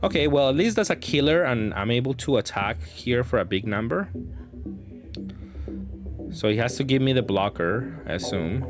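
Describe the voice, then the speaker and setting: Male gameplay commentator, mid-pitched, casual and hesitant, thinking out loud over music. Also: lively intonation, moderate pace. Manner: thinking aloud, hesitant, self-correcting. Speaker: gaming content creator commentating matches.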